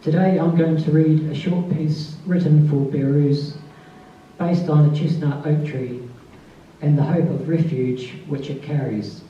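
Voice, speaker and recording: A soft-spoken man who gives a tribute introduction at a literary event and then reads a short piece aloud. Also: on the high side, steady tone, measured pace, slight background noise. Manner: soft-spoken, calls his voice mousy. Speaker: author giving a tribute reading. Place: festival event space. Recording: distant, dull, very echoey room.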